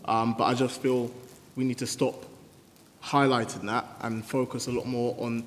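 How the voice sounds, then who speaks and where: A man's voice: medium pitch, little pitch movement, normal speed. A man in his twenties speaking on a youth panel, upbeat with frequent ums. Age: 20s. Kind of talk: live panel discussion. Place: conference room. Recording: hall reverb with faint noise.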